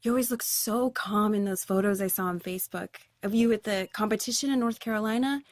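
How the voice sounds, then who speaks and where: A woman's voice: mid-range voice, fairly quick, dry recording, close to an everyday voice. An actress playing a nervous young woman who rambles and apologizes, in an audio drama.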